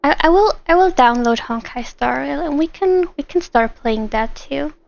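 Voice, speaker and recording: High-pitched woman streaming games. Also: moderate pace, gravelly, dull audio. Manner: animated, rambling, acts out imagined lines. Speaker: streamer, gamer. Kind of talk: casual live game stream commentary.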